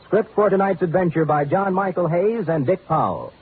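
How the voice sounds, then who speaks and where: A man's voice: sits high, moderate pace, slight background noise. A man announcing a radio show in a polished, emphatic mid-century showman style, reading from a script. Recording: tinny old radio broadcast recording.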